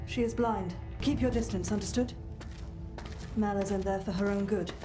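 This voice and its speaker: A woman's voice: medium pitch, moderate pace, over music, close to an everyday voice. A woman voicing a stern elf woman who guards a captive, firm and commanding.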